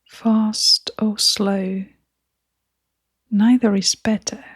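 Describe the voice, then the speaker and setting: A woman leading a scripted guided meditation in a soft, breathy, near-whispering voice, gentle and soothing, with long pauses. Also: mid-range voice, flat delivery, dry recording, clean studio sound.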